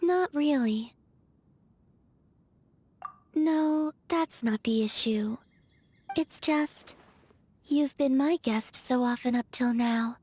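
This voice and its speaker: An actress voicing a soft-spoken, aloof young woman in a game, with a breathy, whispery manner and slow, languid delivery. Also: high-pitched, full character voice. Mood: gentle. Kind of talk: video game character voice lines.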